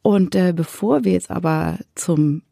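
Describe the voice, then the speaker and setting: Lady podcast host, mellow gravelly voice, dry sound, reads out the intro smoothly. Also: lowish voice, measured pace, no room sound. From Switzerland. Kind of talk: literary podcast interview.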